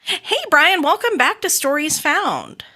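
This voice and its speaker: A woman's voice: high-pitched, sing-song, over music. An American woman hosting a podcast, enthusiastic and effusive, moving between scripted introductions and casual interview chat. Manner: enthusiastic, effusive, quick to laugh. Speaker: podcast host.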